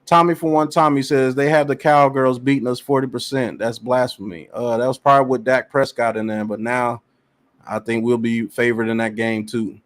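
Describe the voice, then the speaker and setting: A man's voice: mid-range voice, moderate pace. A man hosting a casual sports podcast, reading out chat comments and arguing his points emphatically. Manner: animated, emphatic, repeats phrases. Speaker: podcast host.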